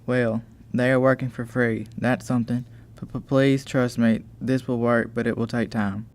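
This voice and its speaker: Teenage boy acting a talkative gentleman master, gravelly fry, stammering, eager to please, narrow pitch, amateur radio play. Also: little pitch movement, normal speed, natural voice. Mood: pleading, placating. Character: flustered, chatty, joke-telling English gentleman husband and master.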